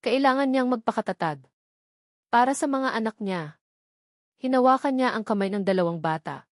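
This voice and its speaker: A woman with a Filipino accent reading scripted romantic drama narration in a measured, emotive way. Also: fairly high pitch, no room sound, clean studio sound. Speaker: audiobook story narrator.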